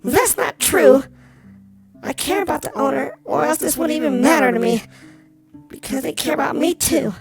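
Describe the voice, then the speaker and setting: A bitter, insecure female doll from a visual novel game, played by a woman. The character is dramatic and jealous in delivery, with music clearly playing behind the voice. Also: sits high, normal speed. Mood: upset, intense. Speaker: voice of a toy character.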